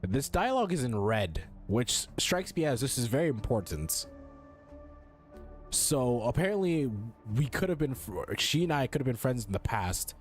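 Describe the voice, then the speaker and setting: A man's voice: mid-range voice, very animated, moderate pace. A young male gaming streamer with a mid-range voice gives casual commentary. He is blunt and slangy, swears and often repeats himself. Game music plays faintly behind him.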